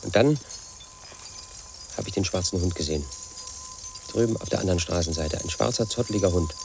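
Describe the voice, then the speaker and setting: An actor playing a brooding, paranoid man in his thirties, telling his own story as a flat inner monologue in a radio play. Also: deep voice, fairly quick, creaky, over music. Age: 30s. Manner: flat, brooding, quietly obsessive.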